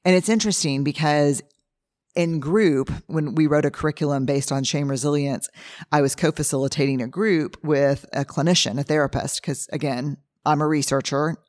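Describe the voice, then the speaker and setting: A hoarse middle-aged woman, hosting a podcast and telling stories candidly, acting out what people say. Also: low-pitched, normal speed, no echo, studio quality. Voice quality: husky. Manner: candid, animated storytelling with acted-out quotes. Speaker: podcast host. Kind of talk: casual solo talk.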